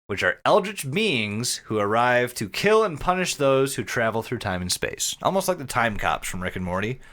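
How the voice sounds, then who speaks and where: Animated man, bright voice, casual podcaster. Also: mid-range voice, moderate pace. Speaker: podcast co-host. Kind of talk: casual podcast talk with read-out descriptions.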